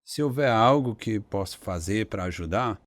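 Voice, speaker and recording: A man's voice: lowish voice, lively intonation, moderate pace, dry recording, lightly acted. An actor playing a kindly, polite, caring male neighbour with a Brazilian accent in a radio drama. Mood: concerned.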